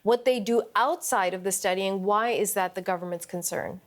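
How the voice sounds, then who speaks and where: A woman news interviewer putting measured, probing questions to a guest. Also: medium pitch.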